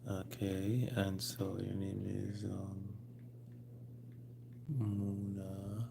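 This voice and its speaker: A man with a Jamaican accent hosting a casual live stream, speaking haltingly and repeating his phrases. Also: low-pitched, completely flat delivery, vocal fry, noisy background. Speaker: livestream host.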